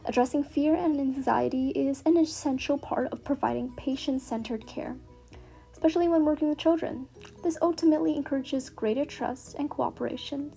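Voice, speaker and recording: High-voiced young woman reading an essay. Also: vocal fry, warm tone, soft background music. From Canada. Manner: measured, earnest reading. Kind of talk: read-aloud personal essay.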